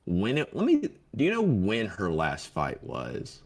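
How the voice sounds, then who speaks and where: A man's voice: low-pitched, huge pitch swings, unhurried. A man co-hosting a podcast in casual sports chat, teasing and quizzing his partner over fight predictions. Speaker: co-host of an MMA podcast.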